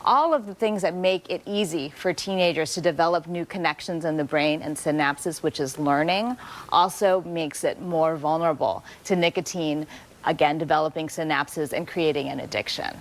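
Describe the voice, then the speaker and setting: A woman doctor answers interview questions in a calm, measured, explanatory way. Her voice sits on the lower side, and she lays out facts clearly for a general audience. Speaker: doctor, expert guest. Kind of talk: expert interview.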